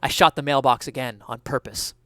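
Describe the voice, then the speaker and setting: A man's voice: mid-range voice, fairly even intonation, fairly quick, fairly bright tone, no echo, studio quality. A man giving a dramatic reading of a first-person story. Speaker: dramatic reader.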